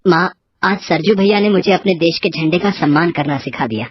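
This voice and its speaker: A woman voicing a polite young man, a devoted and grateful son, in an animated moral story. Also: on the low side, a little fast, husky, muffled recording. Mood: grateful, friendly.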